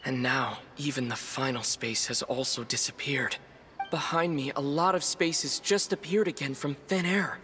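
A man voicing a thoughtful young traveler in a video game, speaking in pensive, deliberate monologue with reverb. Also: medium pitch, pushed and bright, close to an everyday voice. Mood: wary. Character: thoughtful young male traveler adventurer reporting mysteries. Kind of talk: video game inner monologue and recorded message. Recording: long reverb, music behind.

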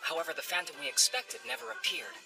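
Earnest teen with very animated intonation. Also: female voice, on the low side, quick pace, vocal fry, music in the background, rough recording. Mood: composed narration. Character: polite, earnest teenage club member, narrating. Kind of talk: animated series dub acting and narration.

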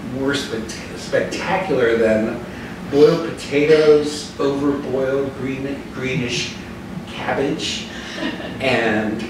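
Male minister, sixties, reflective storytelling sermon, unhurried halting delivery, heavily reverberant distant recording. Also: mid-range voice. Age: 60s. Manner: deliberate, halting phrasing. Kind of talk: reflective sermon with personal anecdote. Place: living room with small group, indoors. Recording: very echoey room, distant mic, noisy.

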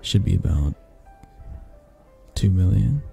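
A man playing a possessive, flirtatious mafia boss in a romantic audio roleplay, husky and gravelly, speaking with intimate condescension and long pauses. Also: low-pitched, sing-song, mellow, exaggerated voice. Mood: smooth, businesslike. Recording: music behind the voice. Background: background music.

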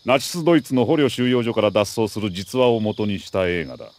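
A lower-pitched, animated military commander. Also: male voice. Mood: sheepish, earnest. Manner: crisp, authoritative, deliberate. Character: male military major, mission commander, precise and earnest. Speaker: mission commander briefing an agent. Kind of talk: dramatic video game radio briefing.

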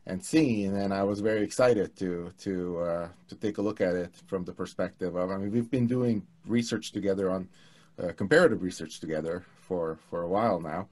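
A man's voice: sits low, a little mellow. Man on a video call. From Hungary. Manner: halting, many restarts and fillers. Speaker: political scientist, co-host. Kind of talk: casual academic conversation. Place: home studio room. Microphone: headset mic on video call.

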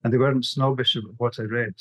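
A man's voice: mid-range voice, fairly even intonation, fast talker, fairly bright tone, breathy edge, no room sound, low-quality recording. A middle-aged man with a Scottish accent, presenting a prepared webinar talk over a video call. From west coast of Scotland. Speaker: graphic novelist, webinar presenter.

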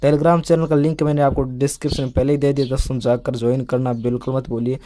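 A man's voice: mid-range voice, level tone, fast talker, warm tone. A man hosting a tech video, explaining things casually and repetitively as he keeps addressing viewers as friends. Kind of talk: casual smartphone update explainer.

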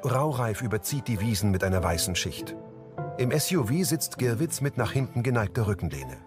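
A man's voice: medium pitch, very animated, fairly quick. A man reading scripted present-tense scene narration as an audio describer for a drama. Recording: music behind the narration. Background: background music.

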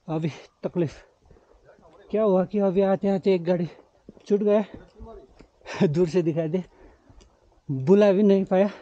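A man in his forties narrates a travel vlog casually as he treks, with a high, mellow voice. He speaks slowly and drops English words into his talk. It is recorded outdoors on a handheld camera, with slightly dull sound. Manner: mixes English words and phrases into speech. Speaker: travel vlogger. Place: outdoors on mountain roads. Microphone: camera held at arm's length.

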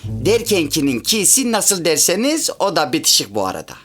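A man's voice: fairly high pitch, huge pitch swings, moderate pace, music in the background. An actor in a comic radio play voicing a chatty, sentimental half-French man with a rural Central Anatolian Turkish accent and a pedantic streak. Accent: rural Central Anatolian Turkish, Çorum area. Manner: folksy, rambling, pedantic about grammar. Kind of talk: comic radio theatre dialogue.

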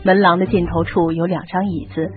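A woman's voice: on the low side, flat delivery, fairly quick. A woman reading scripted narration as the narrator of a radio mystery drama. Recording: muffled lo-fi radio drama, music behind.

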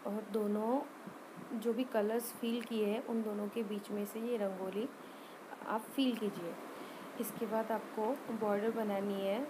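A woman giving an informal step-by-step craft tutorial voice-over, hesitant and often pausing mid-sentence. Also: medium pitch, fairly even intonation, noisy background. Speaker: rangoli art tutorial presenter.

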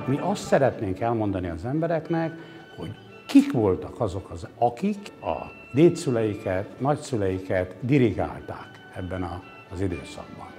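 A man's voice: fairly low pitch, big pitch swings. A man, explaining things reflectively in an interview, philosophizing with hesitations and emphatic points. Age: 60s. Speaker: exhibition curator interviewed. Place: indoor exhibition gallery. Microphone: lapel mic. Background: background music.

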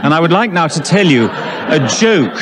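A man's voice: fairly high pitch, very animated, normal speed, crowd noise, rough recording. Arch, theatrical male panellist. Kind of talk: witty banter in a panel game. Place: theatre with a live audience. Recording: loud audience and noise behind.

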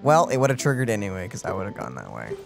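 A man's voice: fairly low pitch, wildly swooping pitch, fast, gravelly, faint music behind. A man co-hosting a let's play with casual, offhand gameplay commentary.

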